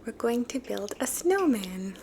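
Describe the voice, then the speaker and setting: A woman voicing a playful, giggly young male rabbit in a light, bright whisper during a storybook reading. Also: on the high side, very animated, a little slow, gravelly, background noise, heavily acted.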